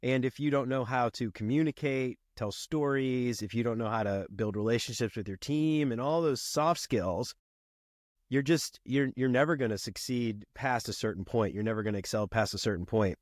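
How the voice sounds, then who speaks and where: A man who sounds congested from a cold. He rambles easily, with plenty of fillers. His voice sits mid-pitch and close to the mic, with no room sound at all. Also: normal speed, dry recording. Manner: relaxed, rambling, frequent fillers and laughs. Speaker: podcast guest, podcast host himself. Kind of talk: casual conversation.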